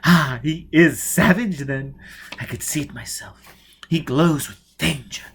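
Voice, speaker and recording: Man acting a breathless, excitable rich young daughter. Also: a little high, unhurried, gravelly, slight background noise. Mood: breathless excitement. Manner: breathless, girlish, dramatic. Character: sheltered, excitable rich young blind daughter, enthralled by danger.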